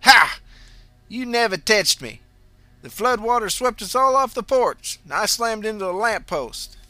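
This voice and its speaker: A sly, teasing elderly ghost woman, put on by a man speaks in a high, strained register with a Louisiana Creole drawl. She sounds forceful and bright, and faint music plays behind her storybook scene. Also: animated, normal speed, dry recording. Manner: drawling dialect, chuckling.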